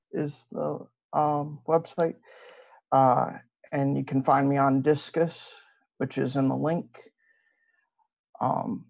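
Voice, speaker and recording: A man's voice: mid-range voice, gravelly. A man from Ohio with a Midwestern accent, giving a hesitant debate opening full of fillers and restarts. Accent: Midwestern American. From Ohio, USA. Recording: dull lo-fi mic.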